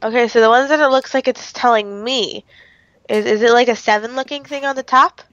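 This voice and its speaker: A woman's voice: a little high, a little fast, fairly bright tone. A woman chatting casually as a friend playing along, picked up in a dull, off-mic recording. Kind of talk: casual chat. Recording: dull, roomy, off-mic pickup.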